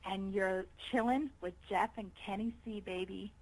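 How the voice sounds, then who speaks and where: A woman's voice: mid-range voice, fairly even intonation, normal speed, poor audio. A woman from Staten Island, New York, with an American accent, chatting casually and readily as an interview guest. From Staten Island, New York, United States. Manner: chatty, prone to laughing. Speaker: pop singer, interview guest.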